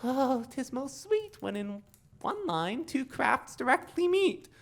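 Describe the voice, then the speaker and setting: A woman's voice: sits high, very animated, takes it slowly, heavily acted. A woman playing a scheming, vengeful young prince in a Shakespearean audio drama, sardonic and theatrical. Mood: gleefully scheming.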